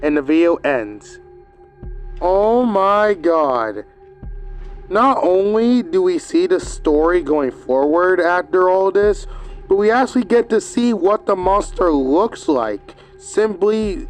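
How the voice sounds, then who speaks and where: A man's voice: high voice, lively intonation, slow pace, faint music behind. A man giving a casual, rambling video review, recapping with hesitant fillers and restarts.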